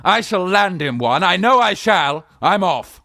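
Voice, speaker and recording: A man acts out a flustered young gent masquerading as somebody's aunt in a stage farce. The voice is high and swoops about theatrically, indignant and quick to protest, recorded dry and close. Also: big pitch swings, creaky, sharp tone, no room sound, full character voice. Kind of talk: Victorian farce radio acting.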